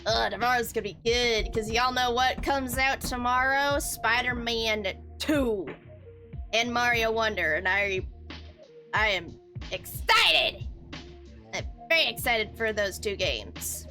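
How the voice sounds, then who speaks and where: A woman's voice: a little high, bright, forceful tone. A young woman chatting casually as she hosts a video game livestream. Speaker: game streamer. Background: game music.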